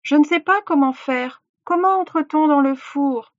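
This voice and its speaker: A woman voicing an innocent, quick-witted young girl in a fairy tale, with earnest delivery. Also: high voice, dull audio, pitched above the performer's own voice, lightly acted. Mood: feigned puzzlement. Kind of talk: dramatised fairy-tale storytelling.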